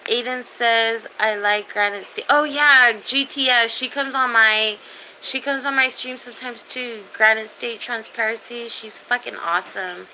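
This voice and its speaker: Bright-voiced woman in her thirties, casually swearing. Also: fairly high pitch, rough recording. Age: 30s. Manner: profane, slangy, animated addressing of viewers. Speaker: live streamer chatting with viewers. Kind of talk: casual livestream chat, reading out viewer comments. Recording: faint background noise.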